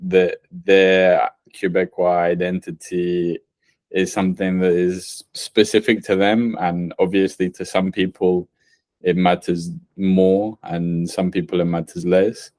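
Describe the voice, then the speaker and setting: Male podcast host, deep voice, measured and thoughtful delivery. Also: little pitch movement, darkish tone, no echo. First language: English. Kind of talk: casual conversation.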